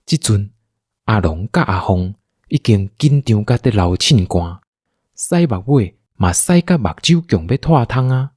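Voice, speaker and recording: A man's voice: lowish voice, animated, a little slow, a little mellow, breathy edge, dry recording, studio quality. A man with a Taiwanese accent narrating a radio drama as a storyteller.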